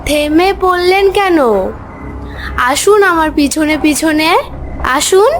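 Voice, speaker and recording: An eerie female ghost from a cartoon horror tale, acted by a woman, beckoning in a high voice. She draws her words out very slowly over music and chirping crickets. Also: fairly bright tone, heavily acted. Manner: slow, drawn-out, beckoning. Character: eerie female ghost luring a man outside. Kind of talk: animated ghost story acting.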